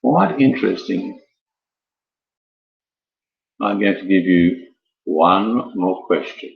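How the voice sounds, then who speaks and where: A man's voice: deep voice, expressive, slow pace, creaky. A man hosting an informal live quiz in a rambling, jokey manner with theatrical build-up. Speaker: quiz host. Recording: dull lo-fi, echoey room.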